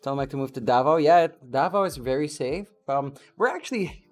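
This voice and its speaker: A man's voice: a little high, expressive, fairly quick. Easygoing Filipino male podcast host. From Philippines. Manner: easygoing, reads out chat messages and answers them. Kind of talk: casual podcast chat, reading chat messages.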